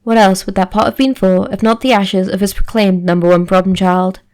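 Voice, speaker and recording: A woman voicing a serious teenage boy with a deadpan, matter-of-fact manner in a story reading. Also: medium pitch, fairly quick, natural voice.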